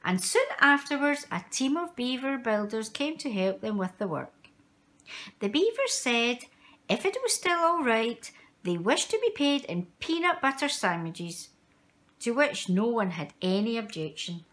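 A woman reading a children's picture book aloud in an expressive, measured storytelling style with dramatic emphasis for young listeners. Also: fairly high pitch.

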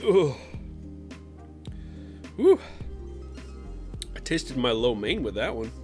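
A man talks casually on a live stream, reacting to chat and breaking into squeaky silly voices. His delivery swings widely in pitch. Soft music plays faintly underneath. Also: takes it slowly. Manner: animated, jumps into high silly voices.

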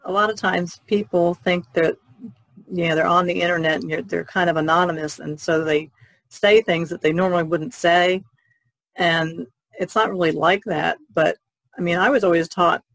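A woman with a gravelly creak in her voice, recorded dry and close. She speaks as a podcast panelist in a casual, informal way, softening her points as she goes. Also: on the low side, steady tone, moderate pace, no echo, poor audio.

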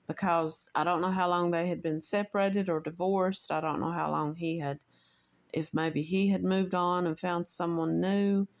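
Woman, Appalachian accent, lowish voice; true crime host reading case notes, measured and a little halting, with personal asides.

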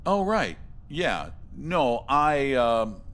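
A man voicing an awkward, creepily ingratiating middle-aged suburban dad in a visual novel, halting and stammering. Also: mid-range voice, sing-song, a bit bright, heavily acted. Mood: nervous, ingratiating. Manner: halting, stammering, fumbling for words.

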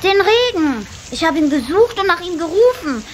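A woman's voice: extremely high pitch, very animated, moderate pace. A woman playing a curious, earnest young water-sprite boy in a children's radio play. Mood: searching. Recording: cassette recording with faint hiss.

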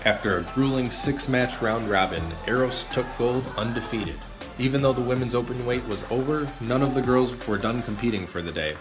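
A man giving scripted narration of a sports event in an even, matter-of-fact way. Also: medium pitch, brisk, low-quality recording. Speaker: video editor and narrator. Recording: voice-over with loud music beneath. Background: music.

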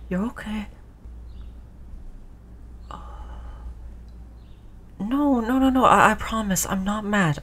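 A woman playing a gentle human who soothes their android companion in an intimate roleplay audio drama, tender and reassuring. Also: medium pitch, slight background noise, close to an everyday voice. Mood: alarmed, soothing. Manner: soothing, tender, hushed, repeating reassurances softly.

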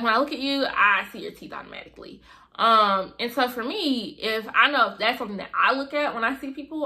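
A young woman vlogging to camera from her bedroom, chatty and expressive, with a slight lisp. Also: on the high side. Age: young adult. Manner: chatty, expressive, lots of fillers. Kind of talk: casual chat to camera. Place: bedroom, indoors. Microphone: camera in front of her.

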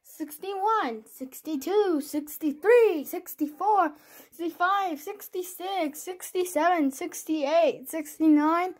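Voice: very high voice, takes it slowly. An amateur performer doing a playful homemade cartoon dub, counting numbers aloud. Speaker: amateur fan voice-over performer.

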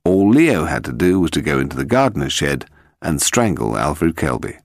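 Man playing a calm, wry gentleman detective, low-voiced. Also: normal speed, dry recording, studio quality, exaggerated voice. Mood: explaining.

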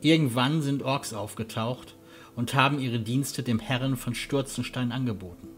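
Man as a courteous woodland guide, mid-pitched. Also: close to an everyday voice. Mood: hesitant, uneasy. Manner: polite, halting, earnest. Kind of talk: German fan audio drama acting.

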